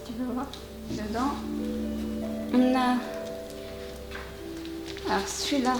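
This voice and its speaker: A woman's voice: high voice, steady tone, takes it slowly. A woman casually chatting as host of an unboxing video, appreciative and often hesitant as she searches for words. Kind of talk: casual chat, showing items. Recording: roomy echo, music behind. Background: background music.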